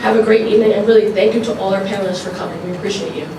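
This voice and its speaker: A woman's voice: mid-range voice, steady tone, very fast. A woman legislator with a stuffy nose, giving casual remarks at a community forum, with many fillers. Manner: informal, filler-heavy, repeats phrases. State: stuffy from allergies. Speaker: assemblywoman. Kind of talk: public forum speech. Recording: echoey hall, distant mic, noisy.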